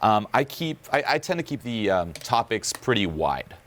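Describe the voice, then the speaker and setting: A man coaching about dating in casual, profane talk, acting out quick bits of dialogue. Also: fairly low pitch, very animated, a bit bright.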